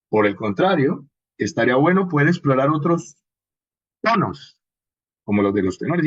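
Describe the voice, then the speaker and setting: A man's voice: a little high, breathy edge. A man with a Colombian accent giving an informal, explanatory lecture as a workshop instructor, often checking in with short questions. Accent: Colombian Spanish. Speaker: workshop instructor on radio drama and podcasting. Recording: muffled, dull lo-fi audio.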